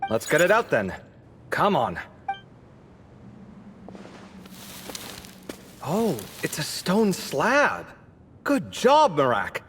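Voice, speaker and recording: An actor voicing an excitable, grumbling young man in a video game, with an expressive, sarcastic delivery. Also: a little high, slow pace, big-room sound, background noise, exaggerated voice. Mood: curious. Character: excitable, exasperated young male partner who grumbles constantly. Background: game music.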